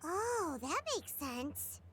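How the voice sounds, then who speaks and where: A woman's voice: sits high, big pitch swings, extremely slow, fairly bright tone, slight background noise, heavily acted. A woman voicing a tiny childlike floating companion in a video game, inquisitive and blunt. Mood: curious. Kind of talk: video game dialogue acting.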